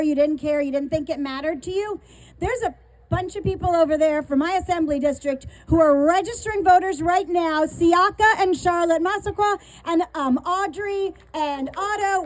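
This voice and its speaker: A woman giving an urgent, quick-paced appeal at an outdoor rally, drawing the crowd to answer back. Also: very high voice, darkish tone, low-quality recording. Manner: urgent, quick, call-and-response. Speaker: rally speaker.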